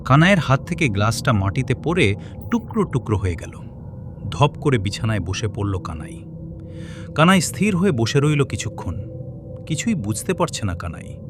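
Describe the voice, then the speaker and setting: A man narrating a scripted horror story in a dramatic, suspenseful, expressive way. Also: medium pitch, background noise. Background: suspense music, cricket sounds.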